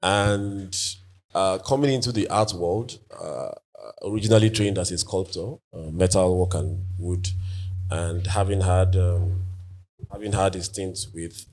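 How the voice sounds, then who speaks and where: Deep-voiced Nigerian man, thoughtful and halting. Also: a little mellow. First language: Igbo. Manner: thoughtful, halting, with many fillers and restarts. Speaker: artist. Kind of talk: conversational panel answers.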